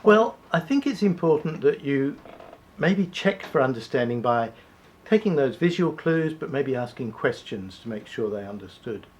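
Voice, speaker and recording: A man co-hosting a talk segment, speaking in a measured, deliberate way and slowing down to stress key points. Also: mid-range voice, lively intonation, darkish tone. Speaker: co-host. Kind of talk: scripted conversational presenting.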